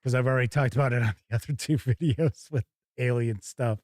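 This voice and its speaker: A man hosting a tabletop game show, giving casual, halting live unboxing commentary and thinking aloud. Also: medium pitch, a little mellow, no room sound, clean studio sound.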